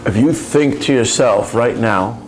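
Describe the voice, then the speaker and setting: A man's voice: medium pitch, measured pace, faint music behind, low-quality recording. A man giving a reflective coaching talk, earnest and probing, speaking directly to the listener. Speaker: speaker on personal growth.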